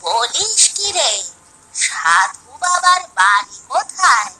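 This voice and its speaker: A woman's voice: sits high, very animated, slow, sharp tone, husky, full character voice. A woman playing an elderly, poor village mother in a cartoon story, fretful and protective, full of folksy questions and exclamations. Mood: curious, puzzled. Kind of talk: Bengali animated moral story acting.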